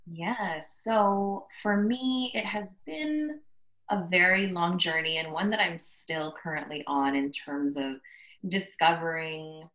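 A woman's voice: fairly low pitch, slow, a bit bright, rough recording. A woman with a Canadian accent, answering as a podcast guest, reflecting and thinking aloud about personal experience. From Canada, Toronto area. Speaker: podcast guest, social impact worker.

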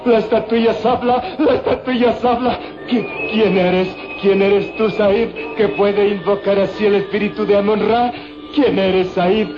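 A man's voice: high voice, steady tone, a little slow, over music. A man acting as a greedy, fearful old moneylender in a melodramatic old-fashioned radio drama. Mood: terrified awe. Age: older. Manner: melodramatic, agitated, exclaiming and repeating himself. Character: greedy, fearful, stubborn old male moneylender and relic dealer. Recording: muffled, noisy old radio serial recording.

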